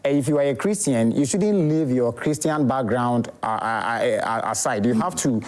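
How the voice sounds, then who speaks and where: Ghanaian herbal doc on tv, dark mellow voice, lots of uh and pauses. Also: male voice, medium pitch. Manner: hesitant, many fillers, plain explaining. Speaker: herbal doctor guest. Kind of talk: TV interview explanation.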